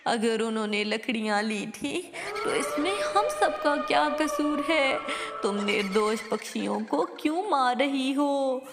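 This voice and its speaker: A distressed cartoon mother bird, played by a woman, pleads in a very high voice. The speech is anxious and exclamatory but drawn out slowly, ringing with reverb over loud music. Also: expressive. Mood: pleading, protesting. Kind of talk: Hindi cartoon story dialogue.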